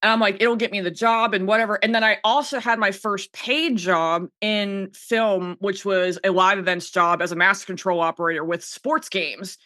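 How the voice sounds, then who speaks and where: Female podcast guest, informal anecdotal talker; bright, forward tone, very dry recording. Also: mid-range voice, steady tone, moderate pace, hardly any pauses, dry recording. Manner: informal, self-quoting storytelling. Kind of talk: casual chat, personal anecdotes.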